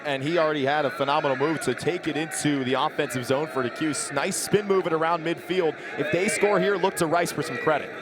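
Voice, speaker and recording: A man's voice: fairly high pitch, fairly even intonation, brisk, few pauses. A man giving live sports analysis as a color commentator. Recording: loud stadium noise behind commentary.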